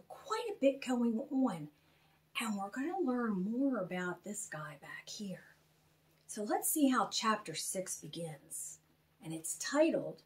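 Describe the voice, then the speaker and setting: Slow, lively-pitched woman storyteller. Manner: animated, expressive, spells out names slowly. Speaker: author reading own children's book aloud. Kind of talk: storytelling read-aloud, chatty asides to young listeners.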